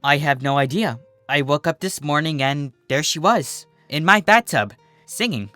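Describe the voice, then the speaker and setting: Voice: medium pitch, lively intonation, moderate pace, bright, forceful tone, no echo, soft background music. A storyteller voicing an anxious, bossy young female unicorn with a fretful, insistent manner. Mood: baffled.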